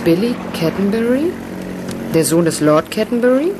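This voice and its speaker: Earnest young woman, acted out, lively intonation. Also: mid-range voice, normal speed, natural voice. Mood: curious. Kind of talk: German radio play acting. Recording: engine noise behind the voices.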